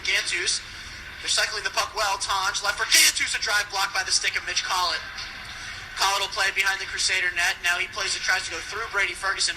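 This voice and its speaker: A man calling live ice hockey play-by-play on the radio with urgent, excitable delivery. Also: high voice. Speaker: play-by-play announcer. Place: ice hockey rink broadcast position. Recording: noisy arena radio broadcast.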